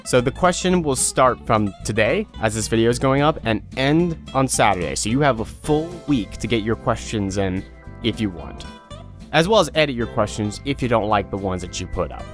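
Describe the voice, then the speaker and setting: A man's voice: medium pitch, expressive, normal speed, faint music behind. A man speaking informally and directly to viewers as an online creator, explaining guidelines in a casual video announcement.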